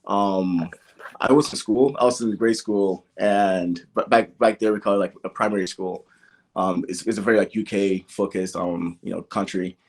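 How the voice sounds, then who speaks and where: A man's voice: low voice, steady tone, moderate pace. A young man from Nigeria who grew up in the American Midwest, talking casually as a podcast guest and peppering his stories with "like" and "you know". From born in Nigeria, grew up in the American Midwest. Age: young adult. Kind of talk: casual interview, personal storytelling.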